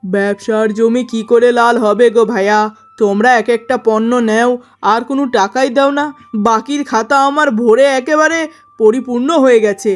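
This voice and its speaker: A woman's voice: fairly high pitch, steady tone, normal speed, faint music behind, lightly acted. A woman voicing a domineering, fault-finding merchant husband in a cartoon story, stern and complaining. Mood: exasperated. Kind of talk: melodramatic cartoon story acting.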